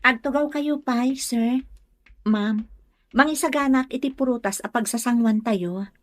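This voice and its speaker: A woman's voice: a little high, measured pace, dry recording, close to an everyday voice. A woman with a Filipino Ilocano accent acting a humble, polite young country woman in an audio drama. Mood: respectful. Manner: polite, explaining. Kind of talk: radio drama acting in dialogue.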